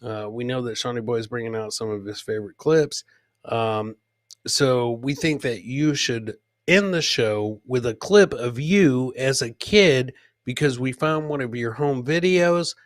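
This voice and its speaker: A man's voice: mid-range voice, a little slow, dry recording, clean studio sound. A man hosting a live comedy clip show, bantering casually and jokily with his co-host and chat. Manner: jokey, addresses co-host and live chat by nickname.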